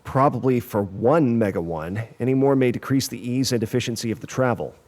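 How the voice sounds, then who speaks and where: Man as sci-fi scientist: confident, precise, technical, measured delivery, average male pitch. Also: a little mellow, lightly acted. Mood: matter-of-fact. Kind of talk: audio drama acting.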